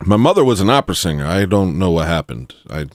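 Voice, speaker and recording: Rough-voiced man with a low voice. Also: big pitch swings, fast, no room sound, clean studio sound. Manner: rambling, self-deprecating, with restarts. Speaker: streamer. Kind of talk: casual chat while making music on stream.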